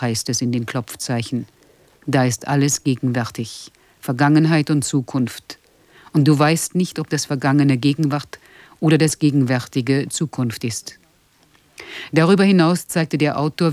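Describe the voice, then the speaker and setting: A male radio presenter reads a scripted introduction to a drama. His mid-pitched voice is measured and formal, recorded very dry. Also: a little mellow, no room sound.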